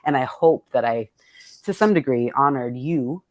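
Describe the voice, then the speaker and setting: A woman's voice: a bass voice, normal speed, no echo. A woman speaking as a poet and host, casually and candidly introducing a poetry reading, salty-tongued and thoughtful as she searches for words.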